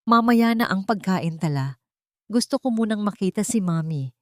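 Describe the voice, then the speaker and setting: A woman voicing a young male architect who speaks calmly and steadily while hiding his anger. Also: mid-range voice, normal speed, no echo, clean studio sound, voice pushed up, close to an everyday voice.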